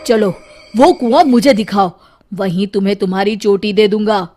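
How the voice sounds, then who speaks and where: A woman's voice: medium pitch, normal speed, mellow, close to an everyday voice. A woman voicing a bold, clever young village man in children's story dialogue, speaking firmly and emphatically over music. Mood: firm, teasing. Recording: background music under the voice.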